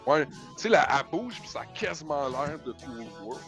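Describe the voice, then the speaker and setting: Lively-pitched Quebec man in casual chat. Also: normal speed, over music. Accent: Quebec French. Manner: colloquial, peppered with English. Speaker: panelist.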